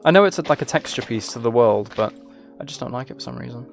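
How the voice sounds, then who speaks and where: A man's voice: mid-range voice, expressive, quick pace, vocal fry, faint music behind. A man chatting casually on a game livestream, rambling and thinking aloud as he plays. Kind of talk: casual chat while playing a game.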